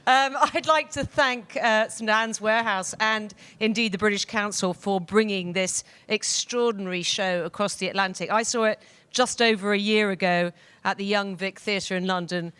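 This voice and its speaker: A woman's voice: mid-range voice, fairly even intonation, normal speed, fairly bright tone, clean tone, no room sound. A woman moderating a live panel discussion on stage, speaking directly into a microphone as she introduces and questions guests. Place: theatre stage, live audience event.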